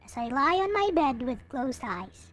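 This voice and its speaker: A woman reading a visual novel aloud in an expressive, theatrical way, with some casual commentary. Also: sits high, moderate pace. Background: background music.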